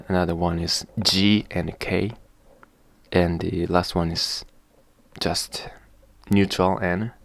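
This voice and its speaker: Japanese-accented man, deep gravelly voice, slow hesitant explaining, dry close sound. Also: no room sound. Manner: hesitant, repeats and restarts, sounds out example words slowly. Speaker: vlogger. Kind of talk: casual spoken explanation to camera.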